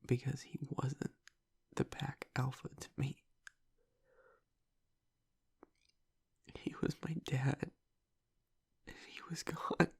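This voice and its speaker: A man acts out a young male werewolf pack leader in an audio drama, a tough guy cracking with grief. His voice is very husky and full of heavy creak, often sinking to a whisper. He speaks slowly and haltingly, choked up. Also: mid-range voice, fairly even intonation, exaggerated voice. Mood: anguished. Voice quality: breathy, raspy whisper with gravelly breaks. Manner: halting, fragmented, swearing under strain. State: choked up, grieving. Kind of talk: intimate audio roleplay monologue.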